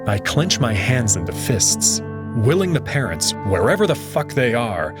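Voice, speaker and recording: A man narrating a visual novel in first person with an expressive, conversational delivery. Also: medium pitch, a little slow, over loud music.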